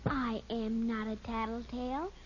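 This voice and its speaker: A woman's voice: on the high side, fairly even intonation, measured pace, lightly acted. A female performer playing a cheeky little American girl, whining and plaintive in 1950s radio sitcom style. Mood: whiny, indignant. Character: whiny, cheeky little girl, tattling youngest daughter. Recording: muffled, hissy vintage radio recording.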